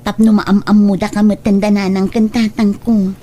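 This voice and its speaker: A woman playing a tender, pleading young woman wronged by her lover, in slow, emotional radio drama style. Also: mid-range voice, level tone, dark tone, a little hiss or hum, lightly acted. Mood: puzzled, shocked.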